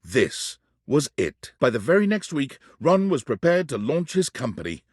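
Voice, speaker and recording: A man playing the narrator of a video game, telling the story in a scripted, dramatic, deliberate storybook manner. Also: medium pitch, big pitch swings, breathy edge, no echo, clean studio sound. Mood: dramatic storytelling.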